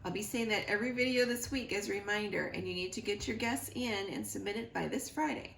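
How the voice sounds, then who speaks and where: Creaky-voiced woman reading a children's story. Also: mid-range voice, fast, a bit bright. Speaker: school librarian reading aloud. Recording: roomy echo, noticeable background noise.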